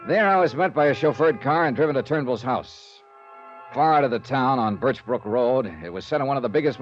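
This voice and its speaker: A man narrating a 1950s radio detective drama in a wry, matter-of-fact tone. His pitch moves about a lot while he reads. The old radio transcription sounds dull and muffled, with faint music under it. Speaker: lead actor and narrator, investigator.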